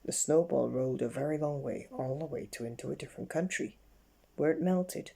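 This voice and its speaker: A reader narrating a children's bedtime story in a halting way, with slips. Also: mid-range voice, vocal fry, mellow.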